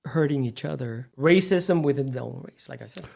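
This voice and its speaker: A man's voice: medium pitch, a little fast, vocal fry, a little mellow. A middle-aged man from Puerto Rico with a Puerto Rican accent, co-hosting a casual podcast, emphatic and switching between English and Spanish. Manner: emphatic and heated. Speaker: podcast co-host. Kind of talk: casual chat.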